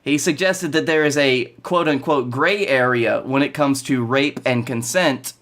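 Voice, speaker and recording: A man's voice: mid-range voice, a little slow, fairly bright tone. A man in his twenties with an American accent, chatting casually as a podcast co-host. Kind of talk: casual podcast banter about wrestling. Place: bedroom, indoors.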